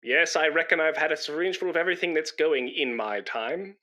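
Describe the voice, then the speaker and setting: An actor playing a pompous, nervous British chatterbox in a comic radio play, rambling and stammering when flustered. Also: male voice, on the high side, fairly quick, noisy background, natural voice. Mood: boastful. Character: pompous, nervous, chattering British man come to give blood. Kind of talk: comic radio play acting before an audience.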